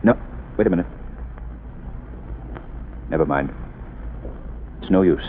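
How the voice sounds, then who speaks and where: A man playing a calm, wealthy amateur sleuth in a 1930s radio drama, measured and commanding. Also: low voice. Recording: tinny old radio broadcast with faint hiss.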